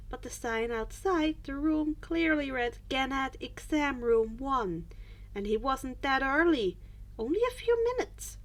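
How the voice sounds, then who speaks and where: A woman's voice: high voice, rough recording. A woman reading a scripted story aloud as an audiobook-style narrator. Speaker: fan fiction reader and narrator.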